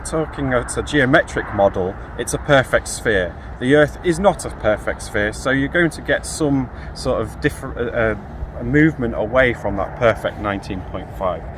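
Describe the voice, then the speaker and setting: A man's voice: medium pitch, a bit bright. A man in his thirties giving an informal, explanatory lecture outdoors to camera, posing questions and answering them. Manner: explanatory, poses rhetorical questions. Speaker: field geologist giving an explanation. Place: outdoors in a grassy field. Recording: outdoor recording with clear ambient noise.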